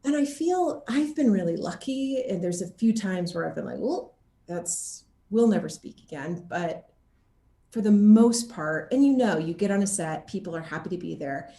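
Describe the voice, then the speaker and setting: A woman actor chatting casually in an interview and telling anecdotes in an animated, laughter-prone way. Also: medium pitch, moderate pace, roomy sound.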